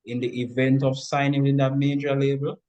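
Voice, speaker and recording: A man's voice: mid-range voice, little pitch movement, fairly quick, mellow, dull audio. A man talking informally as an interview guest, explaining his points step by step and often repeating and rephrasing them.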